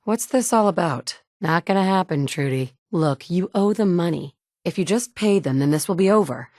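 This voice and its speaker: A woman's voice: on the low side, expressive, normal speed, a little mellow, no room sound, lightly acted. An actress in her thirties playing a tough, wry woman survivor in a video game, talking in a blunt, matter-of-fact way from a studio booth. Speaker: player character. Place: recording booth. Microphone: studio mic.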